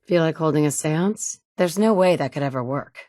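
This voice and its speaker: Actress for a determined mother in a game: blunt, dry-witted, lower register, close dry booth read. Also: normal speed, mellow, no room sound, close to an everyday voice. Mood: determined, wry. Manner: dry wit, blunt and curt when annoyed. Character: determined mother searching for her son, wry. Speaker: player character. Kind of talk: game dialogue lines. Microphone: close studio mic.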